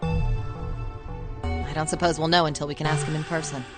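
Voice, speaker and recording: A woman's voice: sits low, level tone, normal speed, over music, natural voice. A woman voicing a cool, matter-of-fact mature woman in an anime-style game.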